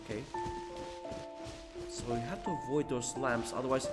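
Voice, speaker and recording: A man's voice: medium pitch, moderate pace, loud music behind the voice. A man giving casual commentary as he streams a video game.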